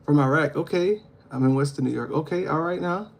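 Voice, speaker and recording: A man's voice: on the high side, brisk. Man chatting on a livestream. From Louisiana. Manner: talks to viewers, reads comments aloud, frequent fillers. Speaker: livestream host. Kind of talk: casual livestream chat reading comments.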